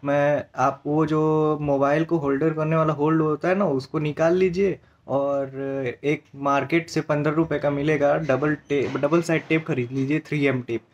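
A young man with a somewhat high voice talks to his viewers casually. He explains a do-it-yourself trick step by step, recorded on a phone at home. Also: steady tone, moderate pace, muffled recording. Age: 20s. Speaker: motovlogger. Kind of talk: casual how-to vlog talk to viewers.

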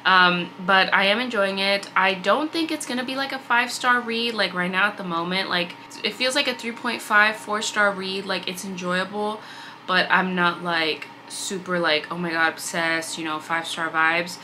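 A woman's voice: mid-range voice, moderate pace, sharp tone, a little hiss or hum, poor audio. A young woman chatting casually about books in a reading vlog, with a slightly congested allergy voice and a constant stream of "like" and "um". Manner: chatty, filler-heavy with frequent "like" and "um". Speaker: book vlogger. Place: indoor room with bookshelves. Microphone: fixed camera at desk distance.

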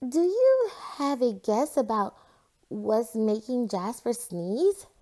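A woman voicing a curious, lively young girl in a storybook, with an expressive, exclamatory manner. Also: fairly high pitch, slow, pitched above the performer's own voice.

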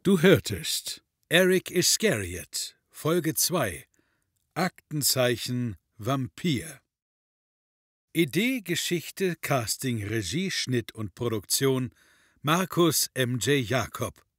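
A man narrating audio drama credits in a scripted announcer's delivery, with a husky voice. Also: mid-range voice, very animated, slow pace, a bit bright, dry recording, clean studio sound.

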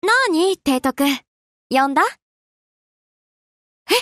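A young anime warship girl with a high, very lively voice. She talks in casual, girlish slang at an unhurried pace. She comes across as easygoing yet dutiful, like a game heroine. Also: dry recording, clean studio sound, full character voice. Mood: breezy, casual, then surprised, touched. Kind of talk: Japanese anime game character voice lines.

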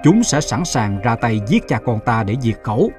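A man voicing a grave, protective middle-aged merchant father in an animated fairy tale. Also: mid-range voice, moderate pace, over music, close to an everyday voice. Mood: grave, worried.

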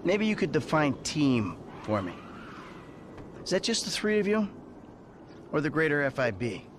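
An actor playing a weary, sardonic middle-aged American criminal in a video game, with a dry way of talking. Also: male voice, on the high side, sing-song, normal speed, slight background noise. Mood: sarcastic, needling. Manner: dry, sarcastic. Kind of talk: video game cutscene acting.

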